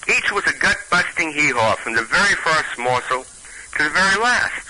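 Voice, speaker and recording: A man with a Brooklyn accent who talks in halting bursts full of restarts. His voice is very bright and swings widely in pitch, with faint noise in the background. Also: poor audio. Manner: halting, many restarts, animated. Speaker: storyteller and stand-up comic. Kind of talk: casual chat and reading a comic tale.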